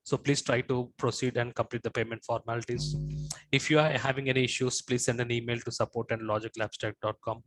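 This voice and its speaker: A man's voice: medium pitch, steady tone, fairly quick, fairly bright tone, faint music behind, low-quality recording. A man running a live online class, answering students' questions in a reassuring way and repeating short phrases. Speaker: online course trainer. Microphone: video call.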